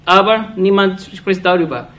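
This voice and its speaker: High-voiced man speaking urgently. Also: fairly bright tone. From Iraq. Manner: halting non-native German, frequent restarts and fillers; earnest and accusatory. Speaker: speaker addressing an audience. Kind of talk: impassioned political speech.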